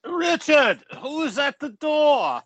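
A pompous Victorian politician played by a male actor speaks slowly, his pitch swooping widely. He is jovial and lecherous, flirting theatrically as in a radio play, on a dry close recording. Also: sing-song, fairly bright tone, dry recording, muffled recording, full character voice.

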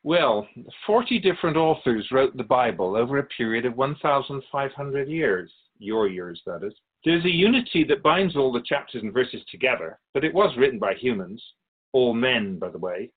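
A man playing a wise spirit who keeps souls in a radio drama, teaching in measured explanations with pointed asides. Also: medium pitch, lively intonation, husky, narrow, tinny audio. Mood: patient, instructive. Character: ancient male spirit Keeper of Souls, wise storytelling teacher. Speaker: interviewed guest. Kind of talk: scripted radio drama dialogue.

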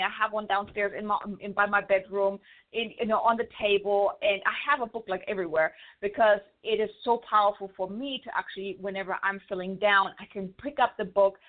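A woman with a German accent, an author talking fast and stumbling over words as an interview guest. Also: medium pitch. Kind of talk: casual interview chat. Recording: phone-line audio.

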